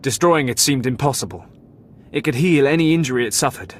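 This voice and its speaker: A calm, regretful academy keeper, voiced by a man, measured and somber in mid pitch. A faint low rumble sits under his game dialogue. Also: natural voice. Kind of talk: video game voice acting.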